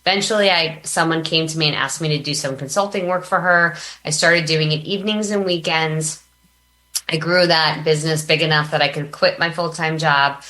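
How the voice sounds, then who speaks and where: A woman guest on a podcast telling personal stories casually, chatty and animated, acting out bits of dialogue. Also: low-pitched. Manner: animated, chatty, quotes herself and others in dialogue. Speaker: podcast guest, business coach. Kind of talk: casual personal storytelling in an interview.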